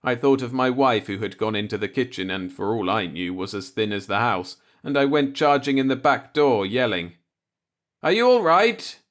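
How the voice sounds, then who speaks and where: A man's voice: on the low side, moderate pace, few pauses. A man reading a light-hearted short story aloud as an audiobook narrator, in a scripted storytelling style.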